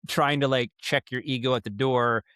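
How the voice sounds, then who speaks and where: An American man speaking as a podcast guest, telling stories in a casual, rambling and animated way. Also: mid-range voice, normal speed, clear, resonant tone, no echo, clean studio sound. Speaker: podcast guest, audio drama writer and producer. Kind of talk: casual interview storytelling.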